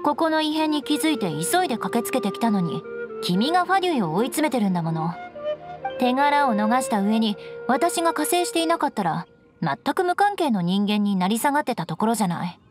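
Proud young noble knight, high voice, haughty, composed, elegant, anime game, soft string music behind. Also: female voice, very animated, a little fast. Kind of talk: Japanese anime game voice acting. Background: orchestral game music with strings.

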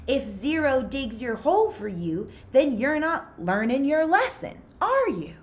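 A woman reader acts out a commanding female warden from a storybook. She gives orders firmly and condescendingly, in a raised, animated pitch. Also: measured pace. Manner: firm, condescending.

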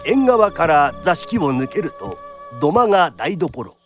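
Man, high-pitched, lively storyteller narration, period radio drama, theatrical delivery. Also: slow, soft background music.

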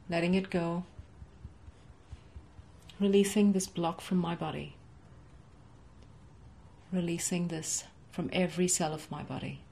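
A woman's voice: lowish voice, vocal fry, a little mellow, slight background noise. Soothing woman leading a tapping session. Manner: slow, soothing, rhythmic repetition. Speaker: EFT tapping coach. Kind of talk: guided tapping session, repeat-after-me phrases. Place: home office with framed certificates, indoors.

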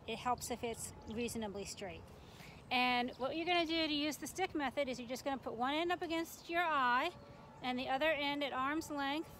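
Middle-aged woman, American accent, high-pitched voice, informal explanatory teaching, outdoor ambient noise. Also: fairly bright tone. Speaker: extension forester, instructor. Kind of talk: informal instructional demonstration. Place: outdoors in a grassy, tree-lined park.

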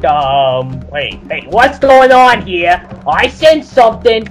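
A man's voice: sits high, big pitch swings, slow pace, soft background music, full character voice. A man voicing a dopey, hesitant big cartoon creature in an amateur comedy dub, rambling as he goes. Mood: hesitant, puzzled.

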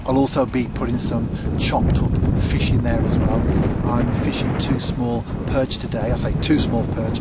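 Englishman vlogging outdoors in wind noise. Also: medium pitch. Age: middle-aged. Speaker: angling vlogger. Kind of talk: casual to-camera vlog. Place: outdoors at a lakeside.

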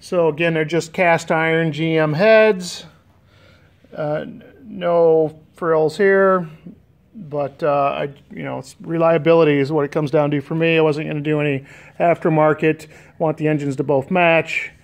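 An American man with a voice pitched a little above average talks in a casual, unscripted way. He sounds like a hobbyist restorer showing his work, pausing hesitantly as he thinks aloud. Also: steady tone, gravelly. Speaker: DIY boat restorer and vlogger. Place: garage with concrete floor. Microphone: handheld camera, operator behind it.

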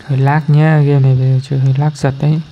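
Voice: medium pitch, flat delivery, racing, dark tone, highs cut off, a little hiss or hum. A person giving casual, exclamatory commentary as host of a gameplay video. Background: music.

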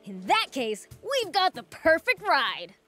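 A woman's voice: very high voice, huge pitch swings, takes it slowly, pushed and bright, faint music behind, full character voice. A woman voicing a brash, impatient young male demon prince in a video game, blunt and cocky. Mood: cocky, excited.

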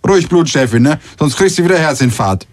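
A man playing a hapless, flustered and crude warehouse worker in a comic radio play, chatty and excitable. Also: a little high, fairly quick, natural voice.

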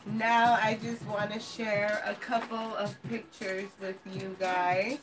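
A woman's voice: medium pitch, little pitch movement, takes it slowly, far from the mic. A woman of about thirty with an American accent, hosting a casual livestream chat for viewers. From Los Angeles, United States. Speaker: video channel host. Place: home kitchen, indoors. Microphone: camera a few feet away. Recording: roomy pickup away from the mic, music behind.

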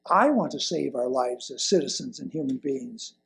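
An elderly man reading a sermon from a lectern, with deliberate, emphatic phrasing and pauses. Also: medium pitch, lively intonation, vocal fry, a bit bright. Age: older, around 70s or 80s. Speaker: lay presenter reading a sermon. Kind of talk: sermon read from a pulpit. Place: indoors at a wooden lectern in a church hall. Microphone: lapel mic.

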